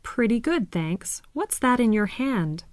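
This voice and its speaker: A woman reading scripted English textbook dialogues deliberately, spelling out words letter by letter. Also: on the high side, very animated, dry recording, clean studio sound. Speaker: voice actor reading English listening exercises.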